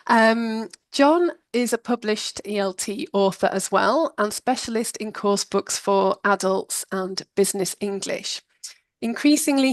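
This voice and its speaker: A woman's voice: mid-range voice, no room sound. A woman hosting a live webinar, upbeat and welcoming as she greets the audience and introduces the guests. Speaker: webinar host.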